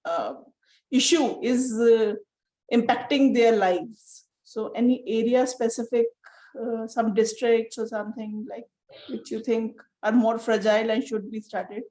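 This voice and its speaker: A woman's voice: on the high side, fairly even intonation, unhurried, warm tone, poor audio. A woman with an Indian English accent asking a question hesitantly, with fillers, in an online training session. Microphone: video call.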